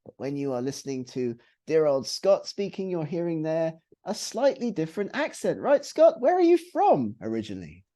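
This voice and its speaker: A British man leads an online writing workshop, his voice rising and falling with animated emphasis. His tone is mellow and soft rather than bright. He talks like an eager teacher in an upbeat manner. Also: fairly high pitch, moderate pace. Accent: British English. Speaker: webinar trainer. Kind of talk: presenting a live online writing webinar. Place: home or office, on a video call.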